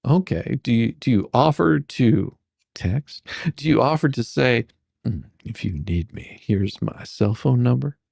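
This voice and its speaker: A performer doing a comedic dramatic reading as a rambling, halting, faux-folksy lawyer questioning a witness in a deposition. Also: mid-range voice, lively intonation, gravelly, darkish tone, breathy edge, dry recording, full character voice. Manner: halting, repetitive, mock-sarcastic, stumbling over questions.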